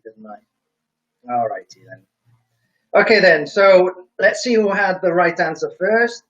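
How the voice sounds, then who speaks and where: Chatty man with faint Welsh lilt. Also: a little high. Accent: faint Welsh mixed with English. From Wales. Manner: chatty and jokey, quizzing viewers. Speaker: live stream host. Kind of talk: casual live-stream chat reading out viewer comments. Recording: dull lo-fi stream audio.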